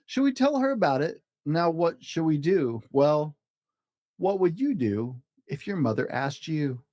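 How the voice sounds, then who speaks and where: Animated man reading a children's story. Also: a little high, measured pace, no echo. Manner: expressive, emphatic rhyming delivery. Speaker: storybook reader, host.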